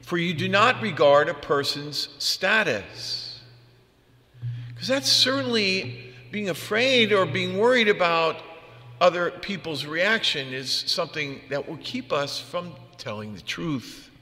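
A man's voice: high voice, lively intonation, slow pace, sharp tone. A man preaching a homily as a priest, in a conversational, plain-spoken manner. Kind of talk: homily with personal anecdotes. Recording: long reverb of a large room.

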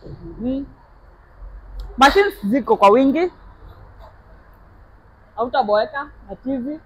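A woman's voice: high voice, expressive, slow, husky, rough recording. A young woman with a Kenyan accent, a gym staff member, showing visitors around and pointing out equipment in a friendly, matter-of-fact way. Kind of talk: guided tour in mixed Swahili and English. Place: large indoor gym. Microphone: lapel mic.

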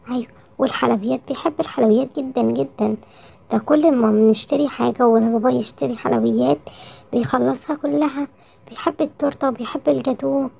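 A woman's voice: sits high, creaky, a little mellow. A woman voicing a caring, fretful mother lioness with an Egyptian accent in a children's cartoon story, admonishing and repeating words.

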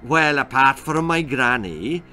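A man's voice: mid-range voice, lively intonation, measured pace, sharp tone, faint music behind. A man voicing a cheeky, bored young boy in a children's story. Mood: innocent.

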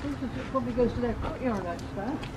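A woman's voice: a little high, fast talker. An older woman making casual remarks on her surroundings during a walk outdoors. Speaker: walking companion. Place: outdoors in seaside town streets. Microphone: camera microphone held by companion. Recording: noisy outdoor camera audio, street ambience.

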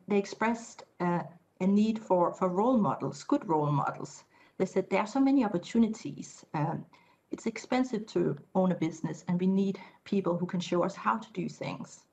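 A middle-aged woman giving a keynote over a video call, speaking in a measured way with frequent fillers. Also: on the low side, darkish tone, lo-fi sound. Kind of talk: conference presentation. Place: indoor room, video call.

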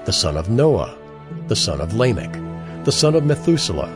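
A man's voice: on the low side, big pitch swings, normal speed, over music. A man narrating scripture in short, rhythmic phrases.

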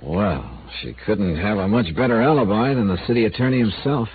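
A man's voice: lowish voice, expressive, brisk, natural voice. An actor playing a dry, skeptical male insurance investigator who also narrates, in a 1950s radio drama. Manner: dry, skeptical, probing questions. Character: wry insurance investigator, male, middle-aged. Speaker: narrator and lead. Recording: tinny lo-fi old radio broadcast with hiss.

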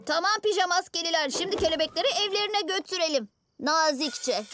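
A woman's voice: very high-pitched, lively intonation, a little fast, background noise. A woman voicing a plucky, confident six-year-old boy superhero, eager and earnest, in a children's cartoon. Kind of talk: animated children's cartoon dub. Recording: prominent music behind the voice.

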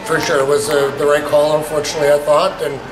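A man in his thirties, a hockey head coach, answering post-game media questions in halting, repetitive phrasing. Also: on the high side, level tone, reverberant, music in the background, poor audio. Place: indoors in a small media room before a sponsor backdrop.

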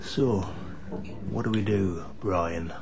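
An actor playing a civil servant's probing confidant in a radio drama, unhurried. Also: male voice, sits low, creaky, heavy background noise, full character voice. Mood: curious, probing.

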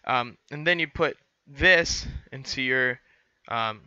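A man's voice: mid-range voice, takes it slowly, pushed and bright, clean tone, no room sound, dull audio. A young man explaining a how-to in a casual, rambling way, full of fillers and restarts, on a headset mic in a small room. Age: young adult. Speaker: student pilot vlogger. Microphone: headset boom mic.

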